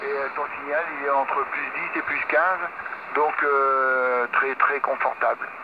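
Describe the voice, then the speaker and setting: A man's voice: medium pitch, little pitch movement, unhurried. A French man at his home radio station, chatting easily over the air with technical talk and light laughs. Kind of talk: casual radio contact chat. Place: home radio shack with amp and 3D printer running. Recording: muffled radio audio with clear hiss.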